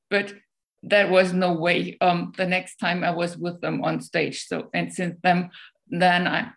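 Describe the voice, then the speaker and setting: A woman with a German accent, a guest speaker answering questions in a casual, hesitant way, full of ums and restarts. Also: on the low side.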